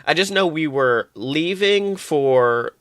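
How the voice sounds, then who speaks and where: Bright, animated male podcast co-host. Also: on the high side, takes it slowly, clean tone, no room sound, studio quality. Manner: animated, exclamatory, sweary. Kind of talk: casual comedic banter and anecdotes.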